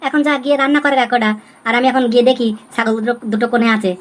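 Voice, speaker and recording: A man's voice: extremely high pitch, steady tone, racing, a bit bright. A man voicing a brash, scheming young village husband in an amateur comedy cartoon. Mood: bossy, irritated. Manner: brash, colloquial.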